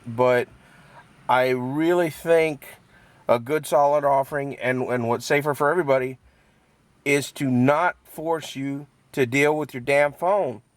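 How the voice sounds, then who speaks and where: A man giving a casual, unscripted product review, halting as he thinks aloud and repeats words, in a matter-of-fact, mildly critical way. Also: fairly high pitch, dry recording. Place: inside a truck cab.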